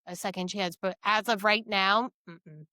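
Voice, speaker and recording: A woman's voice: medium pitch, fairly even intonation, measured pace, sharp tone, no room sound, studio quality. A woman co-hosting a podcast, chatting casually about a book in a blunt, incredulous, emphatic manner. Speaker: podcast co-host.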